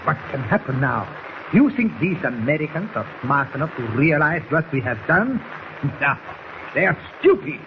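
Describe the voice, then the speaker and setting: A man's voice: on the high side, sing-song, normal speed. A man playing an arrogant, menacing agent doctor, in a precise, commanding 1940s radio acting style. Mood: smug. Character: arrogant, menacing foreign agent doctor who stole a submarine. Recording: muffled, very noisy 1940s radio recording.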